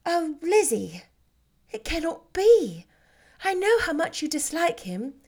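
A woman voicing a gentle, sweet-natured young woman who speaks softly and earnestly. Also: extremely high pitch, big pitch swings, slow pace, voice pushed up, exaggerated voice. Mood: incredulous.

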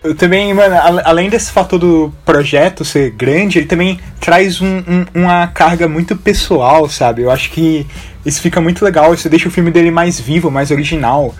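A man's voice: on the high side, normal speed, soft background music. A young man with a Brazilian accent chatting casually as a podcast panelist. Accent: Brazilian Portuguese. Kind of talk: casual chat.

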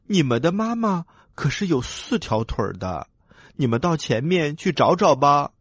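A man voicing a kindly, patient mother catfish in a children's story, gentle and reassuring. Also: on the high side, sing-song, moderate pace, breathy edge, no room sound, studio quality. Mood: kindly correcting.

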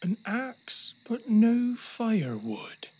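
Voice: mid-range voice, big pitch swings, extremely slow, a little mellow, slight background noise. A performer playing a timid, anxious male raccoon in an audio drama, speaking hesitantly and uneasily. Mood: puzzled, worried.

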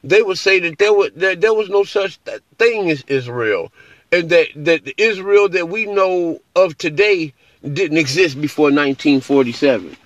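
A man in a conversational religious discussion, talking haltingly and repeating his phrases. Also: fairly high pitch, lively intonation. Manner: halting, repeats phrases, often checks with "you know". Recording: dull, lo-fi call audio.

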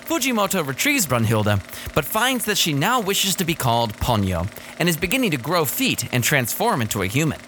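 A man's voice: on the high side, wildly swooping pitch, fairly quick, fairly bright tone, rough recording. A man reading a scripted story summary as narration for a podcast. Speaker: podcast plot summary reader. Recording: clear background noise.

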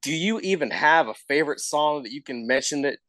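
A man's voice: a little high, expressive, brisk, fairly bright tone, no echo. A man hosting a casual interview, informal and encouraging as he talks. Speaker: show host, interviewer.

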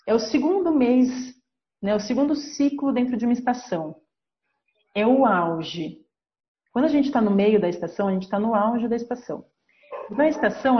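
A woman's voice: medium pitch, highs cut off. A woman with a Brazilian Portuguese accent, an astrologer hosting a podcast, explaining her subject step by step in a teaching manner. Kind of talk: podcast lesson.

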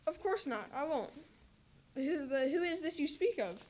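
A woman's voice: sits high, lively intonation, moderate pace, slight background noise. A woman playing an earnest young male warrior from a strange clan in an amateur radio drama. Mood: friendly.

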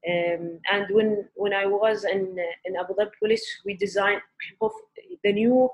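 Woman in her thirties, from the UAE, hesitant with many fillers, restarts her sentences, mid-pitched voice. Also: lively intonation, normal speed, lo-fi sound. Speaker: guest, barista and coffee shop owner. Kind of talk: casual interview answers.

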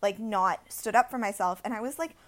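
A woman's voice: mid-range voice, a little fast. Chatty young woman telling a story. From India. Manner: animated storytelling, many "like" fillers. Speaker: podcast co-host. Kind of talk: casual chat.

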